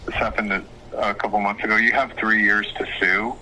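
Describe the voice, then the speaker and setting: Low voiced guy lawyer explaining stuff on a phone call, kinda hesitant, sharp bright tone, bit of noise. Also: flat delivery, fairly quick. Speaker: attorney giving legal advice. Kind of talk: phone consultation, casual advice.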